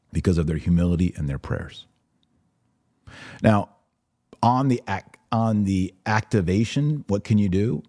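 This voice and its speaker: A man's voice: low-pitched, darkish tone, no room sound, studio quality. A man hosting a podcast, giving casual religious commentary and answering listeners in a conversational, emphatic way. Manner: conversational, emphatic, acts out imagined exchanges.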